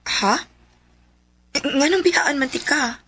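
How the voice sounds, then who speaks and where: An actress playing a coy young sweetheart and devoted wife in a radio melodrama, tender, with a Cebuano accent. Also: female voice, high voice, slow, heavy background noise. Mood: reassuring. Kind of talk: Philippine radio melodrama acting.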